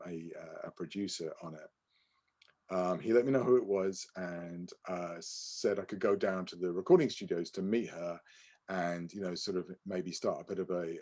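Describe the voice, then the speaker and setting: A man's voice: sits low, a little mellow. A man co-hosting a podcast, telling anecdotes casually and often correcting himself in a hesitant, apologetic way. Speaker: podcast co-host.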